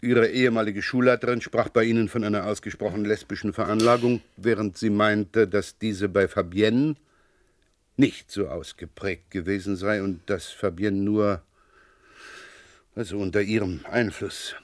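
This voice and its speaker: Man playing a low-voiced, probing police inspector. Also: fairly even intonation, no echo, clean studio sound, lightly acted. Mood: politely probing. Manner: polite, probing, unhurried with hesitant repetitions. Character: persistent, dryly sceptical French police inspector, male. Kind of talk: German crime radio play acting.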